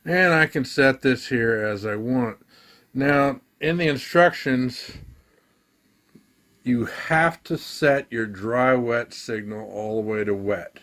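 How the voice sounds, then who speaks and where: Slow, unhurried man demoing gear. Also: mid-range voice, fairly bright tone. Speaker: musician demonstrating gear. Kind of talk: casual product demo talk.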